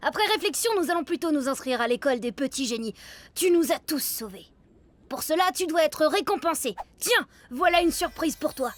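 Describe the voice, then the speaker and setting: Very high-voiced polite cartoon student. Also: female voice, expressive, brisk, dry recording. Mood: courteous. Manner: polite, formal. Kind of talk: animated cartoon voice acting.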